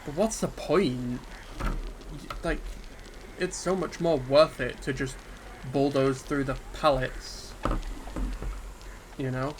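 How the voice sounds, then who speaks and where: A man giving an unscripted, subdued and hesitant rant about a game, sounding dejected and pausing often on ums. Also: medium pitch. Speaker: gaming video creator. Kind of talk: unscripted solo rant to camera. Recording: steady background ambience hiss.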